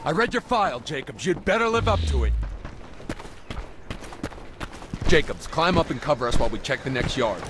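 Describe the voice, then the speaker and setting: A man playing a gruff, hot-headed resistance squad leader in a military shooter game, commanding, brusque and profane. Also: fairly high pitch, lively intonation, fast, music in the background, lightly acted. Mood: gruff, defensive. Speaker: video game character. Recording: loud gunfire and vehicle noise behind. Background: battle ambience, vehicles.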